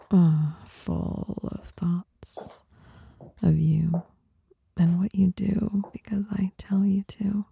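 A woman performing an intimate ASMR monologue in a breathy whisper, seductive, teasing and softly dominant, close to the microphone. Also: lowish voice, no echo, lo-fi sound. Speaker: performer of adult audio recordings.

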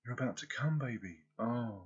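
A man performing an intimate audio roleplay, speaking softly and teasingly as if close to the listener's ear. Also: medium pitch, expressive, moderate pace, husky, lo-fi sound.

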